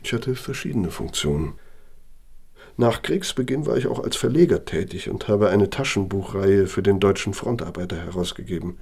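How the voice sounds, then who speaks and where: An actor playing an older, proud and bitter architect in a radio play, self-justifying in a measured, self-righteous way. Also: male voice, lowish voice, a little mellow, slightly rough. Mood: self-satisfied. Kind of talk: naturalistic radio play monologue and dialogue.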